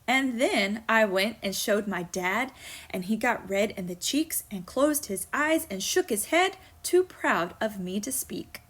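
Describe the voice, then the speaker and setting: A woman's voice: mid-range voice, expressive, unhurried, fairly bright tone, breathy edge. A woman voicing a naive little boy who boasts proudly in a children's poem read aloud.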